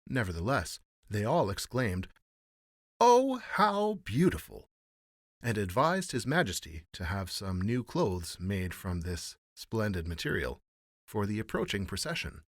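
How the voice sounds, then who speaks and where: A man tells an old fairy tale in formal, theatrical narration. His voice sits fairly low but moves with lively expression. The sound is dry, with no room around it. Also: normal speed, no room sound. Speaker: storyteller.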